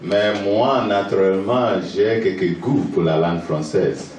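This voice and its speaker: Male pastor, Ghanaian accent, native English speaker, low-pitched, slow hesitant delivery, distant mic in a cavernous church. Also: darkish tone. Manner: halting, hesitant French with fillers. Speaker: visiting pastor preaching. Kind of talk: sermon with pauses for interpreter. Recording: echoey church hall from far off, faint noise.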